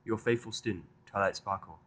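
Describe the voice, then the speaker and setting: A man's voice: fairly low pitch, a little fast, a bit bright. A man voicing a studious, easily flustered young female unicorn pony who speaks in formal, pedantic phrases for a fan fiction audio drama. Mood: formal, dictating.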